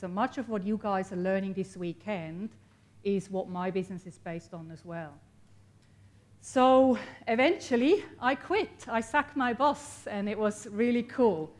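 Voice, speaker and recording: A woman's voice: mid-range voice, a little mellow. Chatty Swiss woman addressing a workshop. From Switzerland. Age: 40s. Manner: chatty, laughs often, engages the audience. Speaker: workshop speaker. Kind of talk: motivational talk to a live audience. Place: workshop event room.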